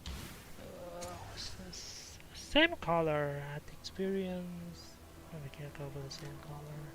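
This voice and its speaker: A man from northern Vietnam gives casual, rambling, profane gameplay commentary as he streams, halting as he goes. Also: a little high. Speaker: live streamer. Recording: game music behind the voice. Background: video game music.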